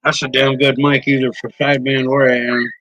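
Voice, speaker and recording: A man's voice: medium pitch, little pitch movement, brisk, fairly bright tone, dry recording, low-quality recording. A man rambling casually about his CB radio microphones, swearing freely and often restarting his sentences. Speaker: CB radio hobbyist streamer. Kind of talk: casual rambling show-and-tell.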